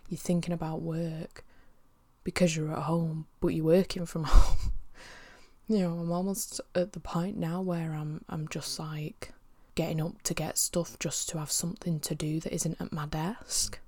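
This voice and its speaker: A young woman with a sniffly cold, talking candidly to camera from home, rambling and swearing, often dropping into soft whispery asides. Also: low-pitched, flat delivery, a little slow, husky. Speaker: vlogger. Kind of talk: casual personal monologue. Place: at home by her desk.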